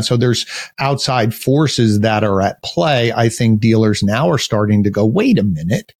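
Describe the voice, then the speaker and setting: A man with a loud, big voice, a marketing agency owner speaking as a podcast guest, explaining earnestly in long, rambling sentences. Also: lowish voice, no room sound, studio quality. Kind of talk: casual interview chat.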